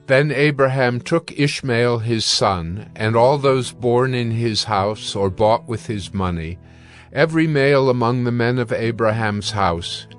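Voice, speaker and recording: A man narrating scripture from a script in a measured, formal manner. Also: lowish voice.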